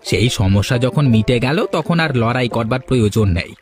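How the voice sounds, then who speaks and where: A man voicing a steady middle-aged fish seller in a cartoon, measured and persuasive. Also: mid-range voice, music in the background, pitched below the performer's own voice, close to an everyday voice. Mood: earnest. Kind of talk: cartoon voice acting.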